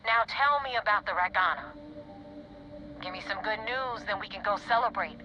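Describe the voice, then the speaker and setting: An actress playing a tough, sardonic space pirate recruiter in a video game, blunt and threatening. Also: female voice, mid-range voice, normal speed, muffled recording, noisy background, natural voice. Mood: businesslike.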